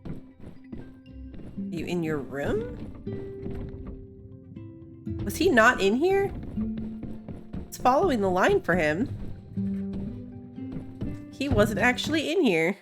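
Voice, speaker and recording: A woman's voice: on the high side, sing-song, takes it slowly, pauses often, over music. A woman giving casual, chatty playthrough commentary as she plays a video game, thinking aloud as she goes. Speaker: gaming video host.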